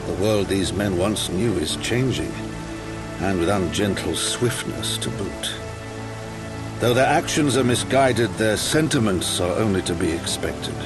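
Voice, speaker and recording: An actor playing a grave, caring older nobleman and father in a fantasy game, with measured, formal, archaic speech. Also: deep voice. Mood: firm, solemn. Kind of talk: scripted fantasy game drama. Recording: game cutscene with music behind.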